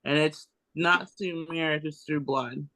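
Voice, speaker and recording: Man, bright forceful voice, slow pace, casual, halting, thinking aloud. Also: fairly high pitch, fairly even intonation, low-quality recording. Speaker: participant in a genealogy group discussion. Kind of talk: casual chat.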